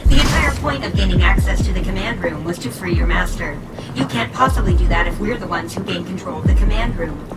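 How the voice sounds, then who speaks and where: A woman playing a formal, coolly logical companion in an audio drama, with stilted, deliberate phrasing. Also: fairly low pitch, lively intonation, recorded from a distance, over loud music, natural voice. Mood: firm, triumphant.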